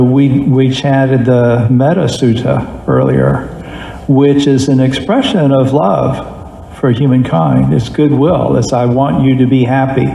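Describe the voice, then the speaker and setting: Gravelly man in an echoey hall. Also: medium pitch, mellow. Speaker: Buddhist teacher answering questions. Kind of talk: relaxed Q&A dharma talk. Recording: echoey hall with faint background noise.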